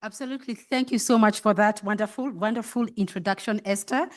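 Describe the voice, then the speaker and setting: A woman's voice: mid-range voice, brisk. A woman moderating a panel on a conference stage before a live audience, playful and encouraging as she introduces the speakers.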